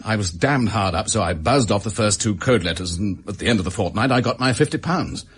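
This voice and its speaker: An actor with an English accent playing a desperate, bitter office worker making a halting confession in a radio drama. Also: male voice, fairly low pitch, expressive, slightly rough.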